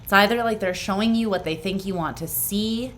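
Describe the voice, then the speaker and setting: A woman doing a tarot reading for the viewer, earnest and reassuring, often clearing her throat as her voice grows hoarse. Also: mid-range voice, brisk, fairly bright tone, slight background noise. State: voice going hoarse. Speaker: tarot reader. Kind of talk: pick-a-card tarot reading, speaking to the viewer.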